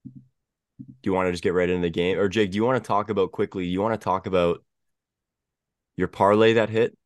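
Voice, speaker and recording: Stuffy young man with a deep voice. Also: steady tone, dry recording, studio quality. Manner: halting, many restarts and fillers. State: stuffy head cold. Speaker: podcast host. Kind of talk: casual sports chat.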